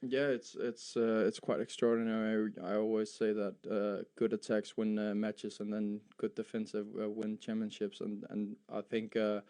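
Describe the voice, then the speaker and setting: A young Danish footballer with Danish as his first language, answering interview questions in a halting way, with many fillers and repeated words. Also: lowish voice, little pitch movement, dark tone, smooth. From Denmark. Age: young adult. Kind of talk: post-match style interview.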